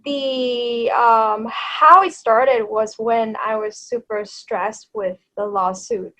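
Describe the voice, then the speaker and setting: Thai woman chatting with soft laughs. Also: on the high side, slow pace, rough recording. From Thailand. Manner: hesitant, many fillers and soft laughs. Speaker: guest. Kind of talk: casual interview chat.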